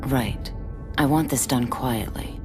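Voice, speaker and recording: A woman's voice: very deep voice, takes it slowly, over music. A woman playing a cool, confident military scout in a video game, with a businesslike delivery. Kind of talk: video game cutscene acting.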